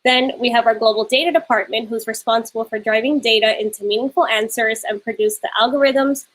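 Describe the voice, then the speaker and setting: A woman from New York City presenting slides and moderating a panel on a video call in a clear, steady way. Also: on the high side, fairly quick, poor audio.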